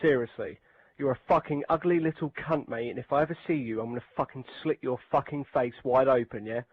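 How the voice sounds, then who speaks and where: A man's voice: on the high side, dry recording, muffled recording. A young man delivering an aggressive, insulting, threatening rant full of swearing. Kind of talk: profane online rant.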